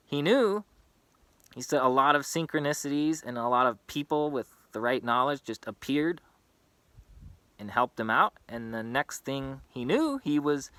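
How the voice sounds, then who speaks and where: A man's voice: mid-range voice, pushed and bright, no room sound. A man who grew up in East Africa, talking in an earnest, impassioned, unscripted monologue to his own channel. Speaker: vlogger.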